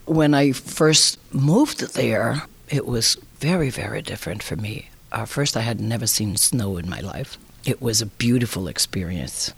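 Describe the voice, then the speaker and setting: A woman's voice: a bass voice, no echo. Woman, 60s, Brazilian accent. Conversational interview delivery, reflective, recounting personal experience. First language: Portuguese. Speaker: interviewee, immigrant.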